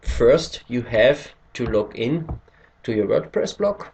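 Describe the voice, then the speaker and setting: A man's voice: mid-range voice, lively intonation, takes it slowly, a little mellow, a little hiss or hum. A man from Austria, a native German speaker with an Austrian German accent, hesitantly walking through a screen-recorded tutorial in English and searching for words as he goes. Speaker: tutorial maker.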